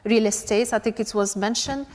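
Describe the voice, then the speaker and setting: A woman's voice: fairly high pitch, fairly even intonation, moderate pace, darkish tone. A woman from Morocco speaking on a panel, laying out her points as a numbered list. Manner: lays out points in numbered lists. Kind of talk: panel discussion. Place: conference hall. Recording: echoey conference hall.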